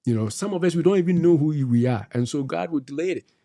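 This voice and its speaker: A man's voice: a little high, expressive, quick pace, warm tone. A middle-aged man gives faith-based advice on a talk show. He exhorts his listeners and repeats his key phrases like a preacher. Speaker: co-host.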